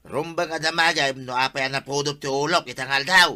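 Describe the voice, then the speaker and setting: Guy acting as grumpy ilocano village man, really piercing bright voice, kinda high, nagging and insistent, comedy skit. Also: fairly even intonation, brisk. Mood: grumbling. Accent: Filipino, Ilocano. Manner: querulous, insistent. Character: grouchy village man forever complaining about noisy roosters.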